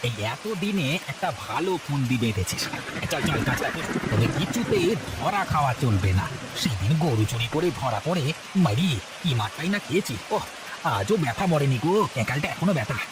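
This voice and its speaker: A man performs a crafty village thief in a cartoon. The delivery is theatrical and wheedling, with very animated intonation at a medium pitch. Ambient noise is clearly audible behind the voice. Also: a little fast, natural voice. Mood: conspiratorial. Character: sly, scheming male village thief. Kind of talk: cartoon voice acting.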